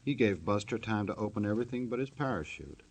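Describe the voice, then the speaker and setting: A man's voice: fairly low pitch, expressive, quick pace. A man announcing a 1950s radio show from a script in a jokey, tongue-in-cheek way. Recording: dull old radio recording.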